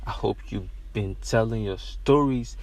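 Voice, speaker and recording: A man's voice: lowish voice, unhurried, a little mellow. A young Nigerian man hosting his own podcast, speaking earnestly and with growing passion, recorded inside a car. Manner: earnest, repetitive, rising to passionate emphasis. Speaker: podcast host. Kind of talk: solo podcast monologue.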